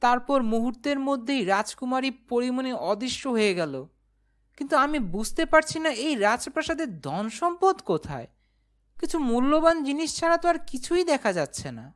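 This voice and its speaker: A woman's voice: high voice, very animated, normal speed. A woman voicing an honest, plucky yet nervous young human who narrates a cartoon ghost story expressively. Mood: amazed. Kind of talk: cartoon first-person narration and dialogue.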